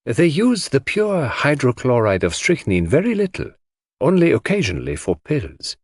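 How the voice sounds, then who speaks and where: Guy doing an old belgian detective in an audiobook, very courteous and sly, voice a bit husky, swoopy pitch. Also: huge pitch swings, moderate pace, dry recording, clean studio sound. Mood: explanatory. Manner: precise, courtly phrasing sprinkled with French address. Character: elderly Belgian gentleman detective, enigmatic, courteous, self-assured. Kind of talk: audiobook character voice.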